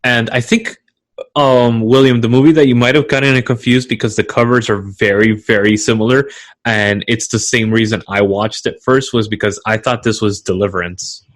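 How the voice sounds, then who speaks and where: A man's voice: medium pitch, steady tone, moderate pace. A man co-hosting a podcast, talking casually with blunt, crude opinions. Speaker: podcast co-host. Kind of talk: casual chat.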